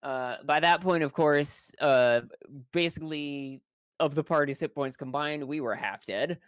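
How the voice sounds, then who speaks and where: The speaker has a mid-pitched voice in a dry recording with no room sound. They recap game events casually and haltingly, in wry, colloquial language peppered with swearing. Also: clean tone, dry recording. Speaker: tabletop role-playing game player. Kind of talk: casual, rambling spoken story recap.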